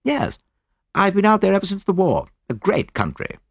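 A man voicing an eager, slightly uneasy young British army captain, with brisk, clipped, well-bred chatter. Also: sits high, very animated, creaky, no room sound, clean studio sound, voice pushed up, heavily acted. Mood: breezy, chatty. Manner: brisk, clipped, breezy upper-class chatter.

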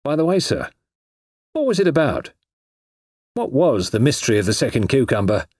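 A man voicing a polite, shrewd police inspector in an audiobook, genial and quietly amused, with a deferential, matey manner. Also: mid-range voice, sing-song, normal speed, husky, dry recording, clean studio sound, pitched above the performer's own voice. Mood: curious, amused. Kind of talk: audiobook character voice.

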